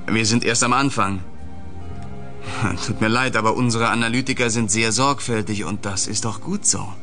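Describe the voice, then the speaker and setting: An actor in a German radio play playing a commanding investigation chief, curt and authoritative. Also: male voice, fairly low pitch, fairly even intonation, normal speed, bright, forceful tone, faint music behind. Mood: polite, businesslike.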